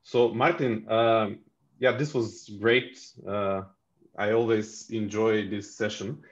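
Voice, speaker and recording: A man moderating the question round on a call. He runs the session in a friendly, brisk way. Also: lowish voice, fairly even intonation. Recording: dull lo-fi call audio.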